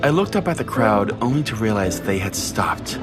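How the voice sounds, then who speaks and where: Guy as a brash sweary pastor telling a dramatic comedy story, lowish voice, music under it. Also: very animated, moderate pace. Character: Pastor Jay, brash foul-mouthed pastor and show host. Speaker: narrator and podcast host. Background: prominent music.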